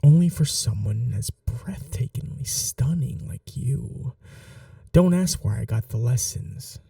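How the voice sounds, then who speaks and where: A man playing an obsessive, doting kidnapper in an intimate ASMR roleplay, speaking softly and breathily with a halting, coaxing tenderness. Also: medium pitch, animated, dry recording, studio quality, distant mic, full character voice. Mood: tender, coaxing. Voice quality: soft, breathy, dark. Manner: gentle, halting, coaxing reassurance. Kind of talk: intimate ASMR roleplay, often near whispering.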